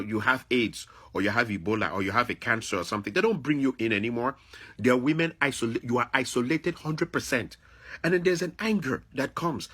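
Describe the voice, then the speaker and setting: Man, low-pitched, husky, emphatic, admonishing, opinionated vlog monologue. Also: bright, forceful tone. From Africa. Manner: emphatic, repeats phrases for stress; confrontational, admonishing. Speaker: vlogger. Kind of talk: opinionated video monologue addressed to another person.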